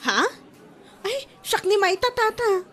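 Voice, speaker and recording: A woman's voice: very high voice, very animated, slow, a bit bright, full character voice. A woman with an Ilocano accent playing a chatty, feisty young waitress in a comedy audio drama. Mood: brisk, indignant.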